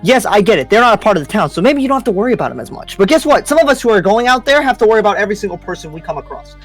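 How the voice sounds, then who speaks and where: A performer playing a stern, suspicious demon slayer in an improvised anime roleplay, making pointed accusations with dramatic pauses. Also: mid-range voice, big pitch swings, faint music behind. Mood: reproachful.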